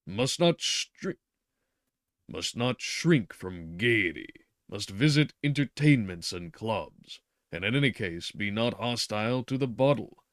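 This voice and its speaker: A man's voice: on the low side, animated, slow, dry recording, clean studio sound. A man voicing a stern middle-aged doctor who lectures insistently and stresses his words. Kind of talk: reading a novel aloud.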